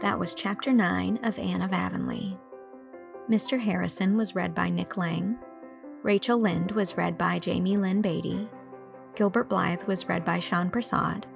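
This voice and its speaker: A woman's voice: on the low side, fairly even intonation, a little slow, gravelly, music in the background. A woman reading scripted narration as an audiobook narrator and host.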